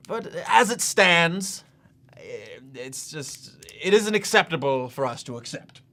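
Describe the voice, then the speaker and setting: An actor playing an awkward, apologetic yet condescending museum official in a comic monologue, with a hesitant, rambling manner. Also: male voice, fairly high pitch, sing-song, slow pace, bright, forceful tone, full character voice. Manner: hesitant, stammering, rambling, self-correcting.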